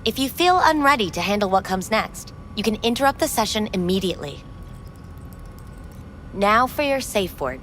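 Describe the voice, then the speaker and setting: An actress playing a poised, courteous female receptionist at a futuristic club in a video game, with a formal, measured manner. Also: medium pitch, big pitch swings, fairly bright tone, a little hiss or hum. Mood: polished. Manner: formal, measured, smoothly sales-like. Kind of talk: video game dialogue acting.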